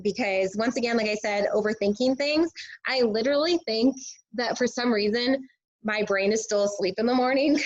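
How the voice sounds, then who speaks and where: A woman, a teacher and fitness coach, sharing casual tips in a chatty way, full of filler words, her voice a bit hoarse and scratchy. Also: mid-range voice. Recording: dull lo-fi recording.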